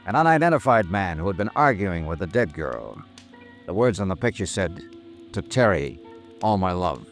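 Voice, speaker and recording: A man acting a cool, terse insurance investigator in a 1950s American radio drama, narrating in a clipped, deadpan way. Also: sits low, moderate pace. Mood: reflective. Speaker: lead actor and narrator. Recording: old radio recording with music.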